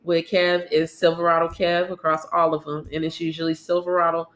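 A woman's voice: on the low side, level tone, fairly quick, a bit bright, poor audio. Congested laid-back woman podcast host. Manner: laid-back, chatty, laughs often. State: congested from a cold. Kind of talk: casual podcast chat.

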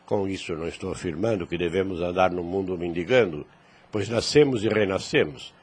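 Husky low-voiced wise fatherly priest. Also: a little fast. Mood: grave, preaching. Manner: solemn, preachy, formal archaic phrasing. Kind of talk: radio drama acting.

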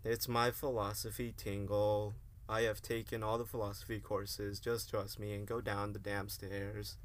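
Young man as grandiose philosophy-major hero for comedy radio play; lowish voice, mock-heroic, slangy. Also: little pitch movement, normal speed, lightly acted. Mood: insistent. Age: young adult. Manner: pompous mock-heroic declamation mixed with slang.

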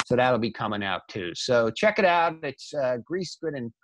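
A man's voice: mid-range voice, big pitch swings, normal speed, no room sound. A man co-hosting a podcast, chatting casually and conversationally. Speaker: podcast co-host.

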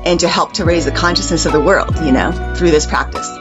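A woman's voice: low-pitched, quick pace, over music. An American woman, a guest artist, talking in a casual podcast chat, reflective and meandering with frequent restarts. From United States.

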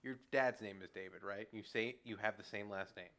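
A man joking around with friends on a podcast. His voice has a bright, forward edge, and he keeps the tone light and teasing. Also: lowish voice, fairly even intonation, a little fast. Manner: joking, laughing. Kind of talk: casual banter among friends.

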